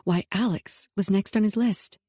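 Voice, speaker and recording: A woman narrating a romance audiobook in first person, soft and emotional. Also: mid-range voice, normal speed, husky, no echo, clean studio sound.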